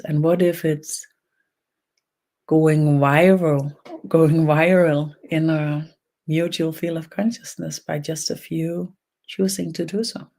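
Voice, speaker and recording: A speaker from Denmark with a Danish accent and a gravelly creak leads a guided energy meditation online, speaking haltingly in short phrases with long pauses. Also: mid-range voice, a little mellow. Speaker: spiritual energy healer leading an online session. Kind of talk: slow guided meditation and channeled talk.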